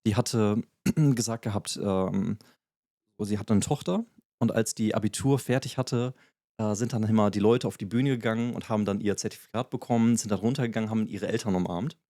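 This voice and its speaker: A man with a low, mellow voice talks through a topic at an easy conversational pace, hedging with frequent fillers and restarts. The recording is dry and close, with no room sound behind him. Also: fairly even intonation, a little fast, no echo. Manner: explanatory, many fillers and restarts. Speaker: podcast co-host. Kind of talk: casual podcast chat.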